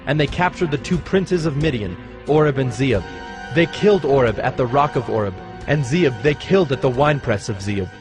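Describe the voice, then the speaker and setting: Guy narrating a dramatized bible, mid voice, solemn storytelling, music clearly playing behind. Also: medium pitch, expressive, fairly quick.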